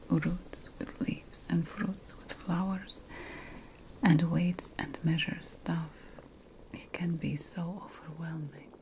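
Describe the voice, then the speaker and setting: A woman playing an ancient, slyly ominous sorceress apothecary, whispering breathily in an ASMR fantasy roleplay, with deliberate dramatic pauses. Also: fairly low pitch, poor audio. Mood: burdened, musing.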